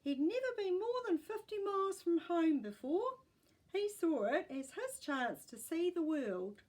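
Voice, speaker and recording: A woman's voice: very high voice, sing-song, measured pace, darkish tone, pitched above the performer's own voice, exaggerated voice. A woman voicing a proud, wistful elderly great-grandmother who reminisces earnestly in a storybook. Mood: fond, nostalgic. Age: older. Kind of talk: storybook character voice.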